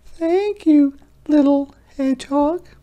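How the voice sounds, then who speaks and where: A storyteller voicing a squeaky mother field mouse, anxious and grateful, from a children's picture book. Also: takes it slowly, highs cut off. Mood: delighted.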